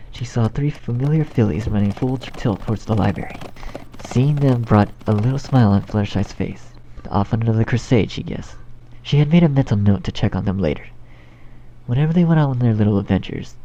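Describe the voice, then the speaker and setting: A man reads a story aloud as narrator, in a lowish voice with plenty of creak. The tone is mellow, and the home recording is a little dull with faint noise. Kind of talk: scripted storybook narration of fan fiction.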